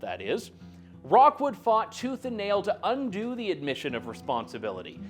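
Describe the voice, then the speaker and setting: A man narrating a documentary in a scripted, pointed, accusatory delivery. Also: high voice.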